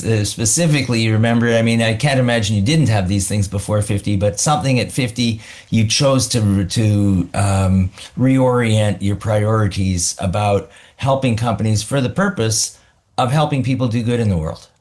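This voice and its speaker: A man's voice: lowish voice, moderate pace, darkish tone. A man hosting a podcast interview, talking reflectively and searching for his words. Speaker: podcast host. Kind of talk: casual interview conversation.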